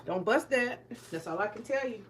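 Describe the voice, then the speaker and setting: A woman's voice: mid-range voice, expressive, moderate pace, slight background noise. A woman in her forties, a retired teacher, chatting candidly to viewers as she cooks in her home kitchen, joking and swearing freely. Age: 40s. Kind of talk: casual cooking vlog chat.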